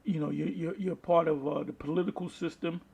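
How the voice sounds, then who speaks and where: Husky, creaky-voiced man teaching scripture. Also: a little high, steady tone, brisk, a little mellow. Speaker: street-style Bible preacher. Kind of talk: Bible teaching with scripture read aloud and commentary.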